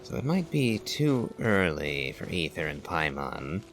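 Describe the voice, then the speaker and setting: A man giving deliberate, pondering game commentary in wordy, thoughtful phrases. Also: sits low, very animated, fairly bright tone. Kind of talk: slow, musing game commentary and dialogue reading. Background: game music.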